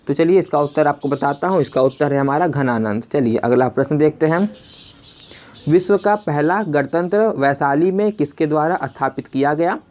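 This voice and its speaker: A man's voice: fairly high pitch, normal speed. Man teaching, dull lo-fi recording. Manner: conversational, repeats each question before answering, addresses viewers as friends. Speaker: quiz teacher and video host. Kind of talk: spoken exam quiz lesson, reading questions and giving answers.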